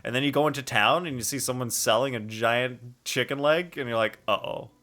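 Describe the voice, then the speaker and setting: A man's voice: medium pitch, fairly quick, pushed and bright. A man giving casual, playful live commentary as a game streamer, thinking aloud in a rambling way.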